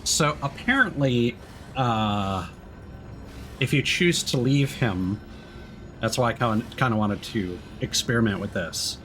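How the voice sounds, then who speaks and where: A man's voice: medium pitch, lively intonation, a little slow, fairly bright tone, soft background music. A man giving casual live-stream game commentary, musing and thinking aloud as he plays.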